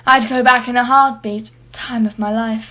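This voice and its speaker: A haughty, wealthy woman collector in a radio drama. Her voice sits fairly high, and she speaks in an arch, witty, defensive way. The sound is slightly dull. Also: fairly even intonation, moderate pace, sharp tone. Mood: nostalgic, proud. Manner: arch, articulate, sardonic. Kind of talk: radio play acting.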